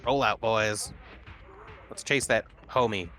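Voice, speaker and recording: A man's voice: lowish voice, slow, pushed and bright. A man taking part in a tabletop roleplaying game, talking casually about moves and dice rules.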